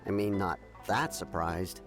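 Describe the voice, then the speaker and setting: A man's voice: low voice, unhurried. An actor playing a mild-mannered, gossipy townsman in a video game, explaining things with careful hesitation and emphasis. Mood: measured, candid. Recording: game music behind.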